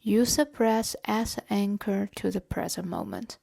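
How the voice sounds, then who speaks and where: A woman leading a guided body scan meditation in a gentle, measured, instructional way. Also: mid-range voice, gravelly. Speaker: meditation guide and therapeutic coach.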